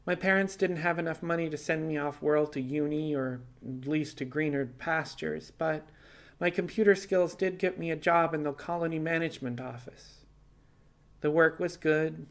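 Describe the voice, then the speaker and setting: Man voicing a high-pitched, resigned young rabbit woman. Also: normal speed, creaky. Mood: wistful. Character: young female rabbit fugitive, resigned, apologetic, plainspoken. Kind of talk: audio fiction character dialogue.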